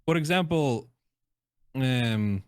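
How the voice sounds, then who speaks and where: A man's voice: mid-range voice, lively intonation, slow, darkish tone, smooth, no room sound, clean studio sound. A man who is a native Russian speaker, reviewing game voice lines in a casual, chatty and jokey reaction conversation.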